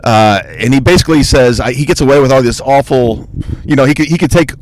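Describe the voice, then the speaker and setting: A man's voice: medium pitch, fast, a little hiss or hum, rough recording. A man from Ohio, a comedian guesting on a podcast, telling stories in an easygoing way with lots of stammered restarts. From Ohio, USA. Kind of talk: casual podcast chat.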